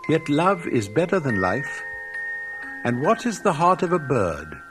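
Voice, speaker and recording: A man's voice: on the high side, big pitch swings, measured pace, over music, pitched above the performer's own voice. A man voicing a tender, devoted female nightingale in a storybook, with an ardent, lyrical, theatrical delivery. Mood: earnest, brave.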